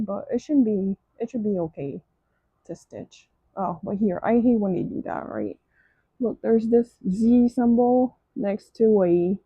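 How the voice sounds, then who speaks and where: A woman's voice: medium pitch, creaky, husky, dry recording, narrow, tinny audio. A woman with a French accent, French her first language, chatting casually through a craft kit unboxing, gushing and restarting often. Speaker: crafting vlogger.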